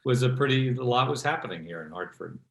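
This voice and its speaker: A man's voice: mid-range voice, lively intonation, fast, vocal fry. Man in an echoey room, thinking aloud. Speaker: theatre panel guest. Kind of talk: casual panel discussion. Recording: roomy, echoey sound.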